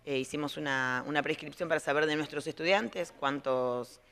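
A middle-aged Argentine woman speaks as a school director in a spontaneous TV interview. She explains step by step, often halting to rephrase. Her voice is picked up on a handheld reporter's microphone in a large indoor room. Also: sits low, a bit bright, clean tone.

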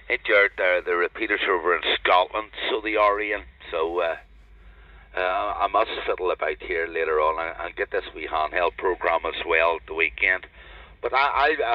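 A man's voice: low voice, no echo. An older man with a Northern Irish accent chatting casually as an amateur radio operator. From Northern Ireland. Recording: dull lo-fi radio network transmission.

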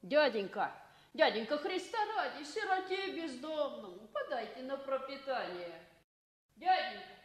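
Plaintive, high-voiced beggar boy. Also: sing-song, a little slow. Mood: pleading. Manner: plaintive singsong begging. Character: timid ragged orphan beggar boy. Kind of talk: 1960s Soviet radio play acting. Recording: roomy, echoing vintage radio recording.

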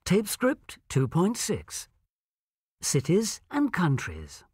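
A woman narrating coursebook audio, announcing track numbers slowly and deliberately. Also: on the low side, huge pitch swings, darkish tone, no room sound, studio quality. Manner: slow, deliberate, clear announcing. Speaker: narrator reading audio scripts.

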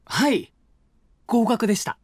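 A gentle, mature university student next door. He talks politely and calmly, with a lively lilt and a touch of teasing. The recording is a dry, close studio take. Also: high voice, unhurried, husky, no room sound, exaggerated voice. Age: 20s. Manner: soft, polite and calm, lightly teasing. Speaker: voice actor. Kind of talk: voice drama acting. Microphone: close studio mic.

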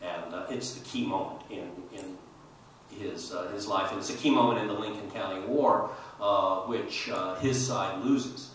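Older male panel historian, measured and halting, low-pitched, heard at a distance with faint noise. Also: a bit bright. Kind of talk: storytelling panel talk to an audience. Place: large auditorium stage. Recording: roomy echoey hall, distant mic, faint noise.

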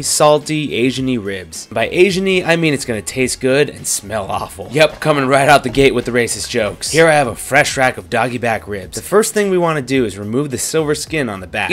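A young man narrating his cooking vlog with deadpan, irreverent jokes. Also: mid-range voice. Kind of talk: joking cooking voice-over.

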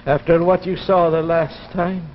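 An actor in his sixties playing a frail, obsessive old inventor father in 1950s television drama, with a halting, theatrical delivery. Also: a little high, level tone, slight background noise, lightly acted. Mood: weary, bewildered. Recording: muffled old TV soundtrack with music.

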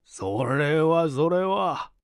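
A man voicing a genial, dignified older gentleman with a measured, courteous manner in a story reading. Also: fairly high pitch, lively intonation, no echo, clean studio sound, exaggerated voice. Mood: puzzled.

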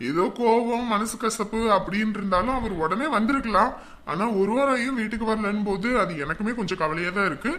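A self-assured figure who soothes others with firm promises. His voice is bright and mid-pitched, with a faint hiss in the background. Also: brisk. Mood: reassuring. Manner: firm, reassuring, dismissive. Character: reassuring man, confident and brusque. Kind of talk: TV serial drama.

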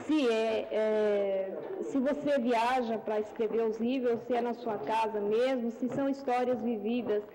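Young woman, a bit high, mellow tone, curious and hesitant, reverberant sound. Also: measured pace, hardly any pauses. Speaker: reporter asking a question.

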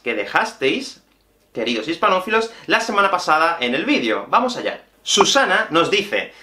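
Spanish guy from spain doing a teacher video, bright forceful voice, talks right to viewers, roomy echo. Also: high voice. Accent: Peninsular Spanish. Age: 30s. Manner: expressive, explanatory, addresses viewers directly. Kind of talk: language lesson to camera. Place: small indoor room with whiteboard and bookshelf. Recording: echoey small room.

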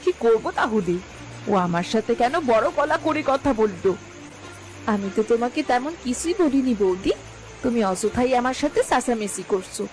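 A woman's voice: a little high, lively intonation, moderate pace, darkish tone, close to an everyday voice, poor audio. A woman playing emphatic, melodramatic village women in a cartoon folk tale. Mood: indignant. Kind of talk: Bengali cartoon fairy-tale voice acting. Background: background music.

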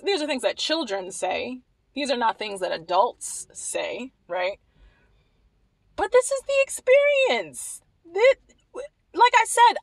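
A young woman from Maryland giving animated, wry commentary to the camera, repeating phrases for emphasis. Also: on the high side, no room sound, rough recording. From Maryland, USA. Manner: animated, wry, repeats herself when emphasizing, laughs at points. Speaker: vlogger. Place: small indoor room against a plain wall.

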